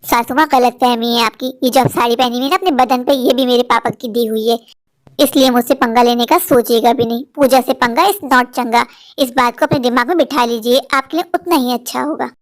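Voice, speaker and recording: A woman's voice: on the high side, steady tone, a little fast, natural voice. A woman playing a haughty spoiled young bride. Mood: boastful. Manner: haughty, showy, boastful.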